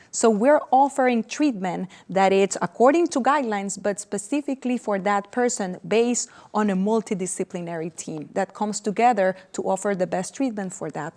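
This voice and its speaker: Puerto Rican woman doctor explaining calmly. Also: medium pitch. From Puerto Rico. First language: Spanish. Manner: earnest, explains step by step. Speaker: cancer doctor. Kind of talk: TV interview, explaining.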